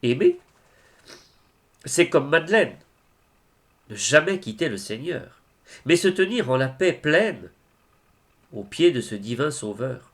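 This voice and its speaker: A man reciting religious poetry in a solemn, declamatory way, phrase by phrase with deliberate pauses. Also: a little high, big pitch swings, a bit bright. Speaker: reader of devotional poetry.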